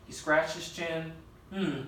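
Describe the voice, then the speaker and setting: A man's voice: a little high, lively intonation, moderate pace, a bit bright. A man reading a children's story aloud interactively, narrating and prompting the listener with questions. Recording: very echoey room, distant mic, faint noise.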